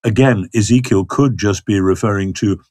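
An older man talks through a question slowly, circling back with ums and stammered restarts. His voice sits low. The recording is dry and close. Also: a little mellow, no room sound. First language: not Hebrew. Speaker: biblical scholar guest. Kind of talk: thoughtful conversational explanation.

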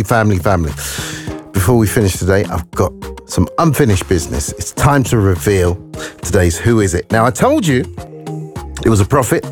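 A man's voice: lowish voice, a little mellow. Man hosting gospel radio. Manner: chatty, upbeat, leads prayer. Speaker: radio presenter. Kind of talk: gospel radio show links and prayer. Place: radio studio. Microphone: studio microphone.

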